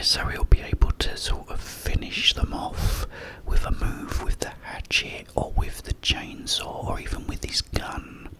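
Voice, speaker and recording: A man whispering a casual ASMR ramble about games, breathy and up close to the microphone, in short halting phrases with pauses. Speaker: ASMR content creator.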